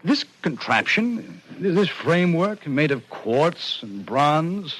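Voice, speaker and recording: A man acting a skeptical, nervous gentleman in a vintage radio drama, speaking in a hesitant, incredulous manner with a slightly husky voice. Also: on the high side, big pitch swings, slow pace, heavily acted, low-quality recording. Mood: incredulous, uneasy.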